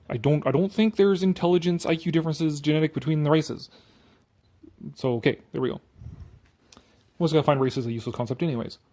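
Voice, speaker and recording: A man's voice: on the high side, darkish tone. A man reading an article aloud with casual, sarcastic commentary, blunt and dismissive, prone to swearing. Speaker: online commentator.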